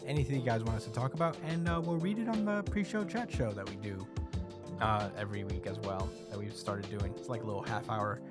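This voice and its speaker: A man's voice: lowish voice, fairly quick, over loud music. A man co-hosting a podcast in casual chat. He laughs easily and keeps a loose, chatty flow. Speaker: podcast co-host.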